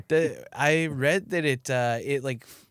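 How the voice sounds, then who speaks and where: A man's voice: mid-range voice, slow, clean tone, no echo. A man co-hosting a casual chat, asking playful questions and laughing often. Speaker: podcast co-host.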